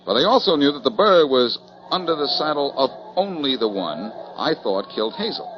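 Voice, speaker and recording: A man's voice: medium pitch, big pitch swings, fairly quick, background noise, close to an everyday voice. A self-assured detective, played by a man from a 1940s radio mystery. He reasons aloud with swooping, theatrical intonation and a mid-range voice. The sound is dull and lo-fi. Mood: explaining, confident. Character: shrewd, self-assured male amateur detective.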